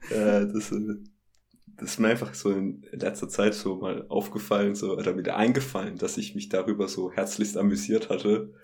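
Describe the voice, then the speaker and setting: A young man chatting casually as a podcast guest, relaxed and amused, with frequent fillers. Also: lowish voice, moderate pace. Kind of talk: casual chat.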